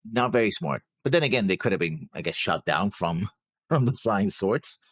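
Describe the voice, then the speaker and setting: A man co-hosting a reaction show, casually discussing an anime episode in a halting way, often repeating words. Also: on the low side, lively intonation, fairly bright tone, no echo, clean studio sound.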